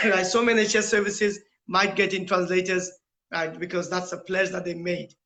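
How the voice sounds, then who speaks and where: High, bright-voiced British man, explaining earnestly. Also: level tone, normal speed, creaky. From United Kingdom. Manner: rhetorical questions, tags points with "right?". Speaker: interview guest, school principal. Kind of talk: earnest, explanatory interview talk.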